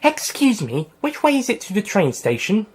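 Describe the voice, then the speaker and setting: A man's voice: high-pitched, wildly swooping pitch, moderate pace, breathy edge, pitched above the performer's own voice, full character voice. A man voicing a sarcastic preteen girl in an audio story, with a pert, teasing delivery. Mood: cheeky. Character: cheeky preteen schoolgirl, sarcastic.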